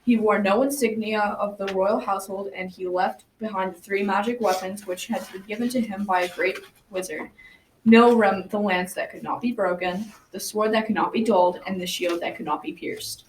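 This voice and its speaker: A woman's voice: mid-range voice, fairly even intonation, moderate pace, lightly acted. A teenage girl playing a folksy old grandfather who tells his grandchildren a tale in a school radio drama. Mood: storytelling. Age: young teenager. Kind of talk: scripted storytelling. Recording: distant mic, clear background noise.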